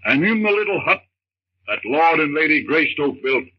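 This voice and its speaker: An actor playing a solemn, declamatory narrator of a jungle adventure in a 1930s radio drama. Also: male voice, fairly high pitch, sing-song, normal speed, slightly rough. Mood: measured. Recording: noisy, dull scratchy 1930s radio recording.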